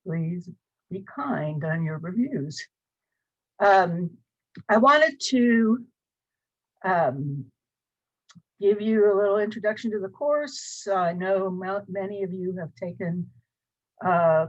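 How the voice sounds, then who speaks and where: A woman's voice: medium pitch, highs cut off. Older woman with Northern US accent. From Cleveland, Ohio. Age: 60s. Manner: chatty, self-deprecating, many um fillers. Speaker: college instructor. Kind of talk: recorded course introduction. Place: small home office. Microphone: webcam at desk.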